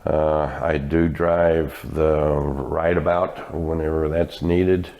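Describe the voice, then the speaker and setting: Older man, deep low voice, relaxed guest storyteller who laughs a lot. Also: slow pace, muffled recording. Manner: laughs often while telling stories. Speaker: interview guest, village staff and volunteer. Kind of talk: casual storytelling interview.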